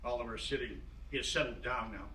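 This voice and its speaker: Man, scripted narration, very sharp bright tone, mid pitch, roomy distant recording, faint noise. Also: fast. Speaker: stage directions reader. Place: room at a live staged reading. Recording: distant, roomy, noisy live stage recording.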